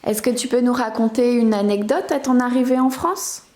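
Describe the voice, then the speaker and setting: A woman asking gentle, inviting interview questions. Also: fairly high pitch, fairly even intonation, normal speed, reverberant.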